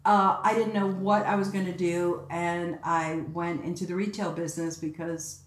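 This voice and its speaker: A woman's voice: on the low side, normal speed. An older woman from Egypt, a casting director, telling stories as a guest in a casual interview with lots of laughter. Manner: chatty, laughing, animated quoting. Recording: roomy echoey sound.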